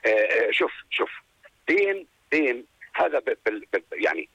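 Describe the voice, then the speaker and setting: Husky, animated Jordanian man calling in. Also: mid-range voice, no room sound, dull audio. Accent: Jordanian Arabic. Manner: halting, repeats words emphatically. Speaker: radio show caller. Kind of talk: casual opinion on a phone-in. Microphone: phone call.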